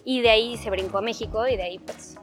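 A thirty-year-old Mexican woman speaking reflectively as an interview guest, with pauses and tag questions. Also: a little high, soft background music. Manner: thoughtful, hesitant, many fillers and rhetorical "¿no?". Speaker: guest, psychology student. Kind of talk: reflective conversation. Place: outdoors, walking in a cemetery.

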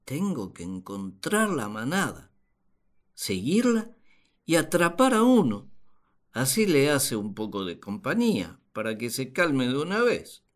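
An actor playing a naive, puzzled husband and father in a radio play, speaking in a deliberate, theatrical way. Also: medium pitch. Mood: puzzled, pitying. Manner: deliberate, theatrical, expressive delivery. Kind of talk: radio play monologue, dramatized diary.

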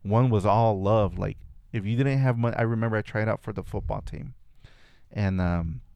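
A man's voice: on the low side, moderate pace, creaky, darkish tone, clear, resonant tone, no echo. A man whose first language is Spanish, a guest reflectively telling stories from his childhood in a casual conversation. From California. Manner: reflective storytelling with frequent restarts.

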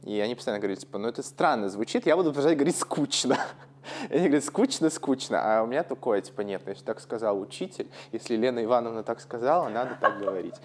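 A man's voice: medium pitch, lively intonation, fast. A man from Russia co-hosting a podcast, speaking casually and thinking aloud in digressions. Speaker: podcast co-host.

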